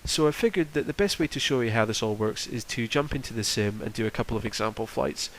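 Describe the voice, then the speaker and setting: A man's voice: medium pitch, fast. A man presenting a tutorial in a casual, explanatory walkthrough style. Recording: faint background noise.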